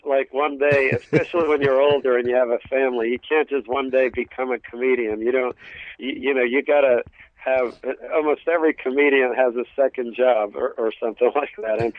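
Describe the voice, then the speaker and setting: A man's voice: mid-range voice, fairly even intonation, brisk, no echo. A middle-aged man with a New York-area accent, a native Greek speaker, telling jokey stories as an interview guest in a rambling way with stammering restarts. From New York and New Jersey, US. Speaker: guest, stand-up comedian. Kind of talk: casual comic storytelling in an interview. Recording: dull lo-fi radio sound.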